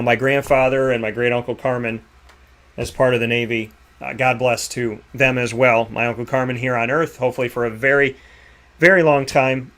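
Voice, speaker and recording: A man hosting a sports radio show, giving rambling, energetic shout-outs and sponsor plugs. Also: mid-range voice. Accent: Boston. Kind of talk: enthusiastic sign-off with sponsor plugs.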